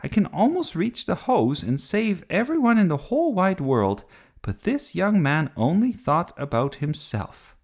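A man's voice: high voice, very animated, measured pace, vocal fry, pitched above the performer's own voice, heavily acted. A man voicing a bossy, dramatic little girl at play in a children's storybook, grandly theatrical in manner. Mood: grumbling. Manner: grandly theatrical, mispronouncing big words.

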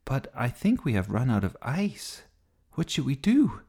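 A man's voice: mid-range voice, wildly swooping pitch, unhurried, creaky, darkish tone, husky, pitched above the performer's own voice, heavily acted. A man voicing an earnest, admiring little girl, an eager and wondering playmate in a children's storybook. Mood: eager, questioning.

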